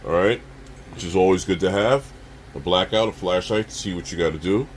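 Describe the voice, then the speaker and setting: A man casually demonstrating a product as a reviewer, speaking haltingly and addressing viewers directly. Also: low voice, a little hiss or hum.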